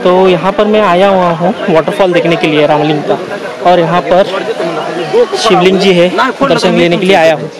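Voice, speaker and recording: A man, casually narrating a travel vlog as he walks, pointing things out to viewers in an unhurried way. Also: high voice, steady tone, a loud crowd. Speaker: travel vlogger. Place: outdoors on a forested trail by a stream and waterfall. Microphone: handheld camera at arm's length. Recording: noisy outdoors with rushing water.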